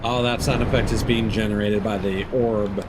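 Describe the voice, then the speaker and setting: A man's voice: lowish voice, fairly even intonation, moderate pace, very noisy. A man giving casual live gameplay commentary as a streamer, swearing freely. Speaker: video game streamer. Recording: loud game music behind. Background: loud video game music and sounds.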